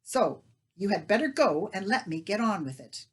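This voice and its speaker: A woman voicing a fussing, talkative middle-aged baker's wife who rattles on in a shrill, bustling way. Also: very animated, moderate pace, slightly rough. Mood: chattering.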